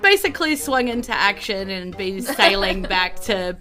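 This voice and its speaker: A woman's voice: mid-range voice, expressive, normal speed, sharp tone. A woman, narrating a tabletop game as game master in a casual, chatty, giggly way. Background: faint background music.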